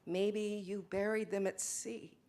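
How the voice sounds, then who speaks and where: A woman performing an earnest, conflicted Mennonite wife in a dramatic stage reading, halting and emotional. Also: medium pitch, fairly even intonation, darkish tone, natural voice. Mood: wistful.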